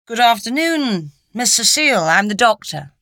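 A woman's voice: on the high side, very animated, normal speed, sharp tone, no room sound, studio quality, voice pushed up, full character voice. A woman voicing a genial, inquisitive male locum doctor in an audiobook, polite with a mock-serious streak. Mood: courteous.

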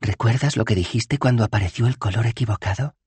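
A man's voice: on the low side, fast, a little mellow, breathy edge, no room sound, pitched above the performer's own voice. A man reading an audiobook aloud, voicing a bold, curious young woman in a raised, lighter put-on voice.

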